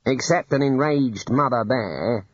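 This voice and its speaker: A man's voice: mid-range voice, a little slow, dry recording, natural voice. Mild older wizard, voiced by a man, musing, dry lo-fi sound. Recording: dull lo-fi audiobook recording.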